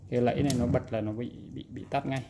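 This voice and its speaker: Male repair presenter, casual and demonstrative, medium pitch, slightly noisy indoor recording. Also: fairly even intonation, quick pace. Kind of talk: casual hands-on repair explanation. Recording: background noise, room ambience.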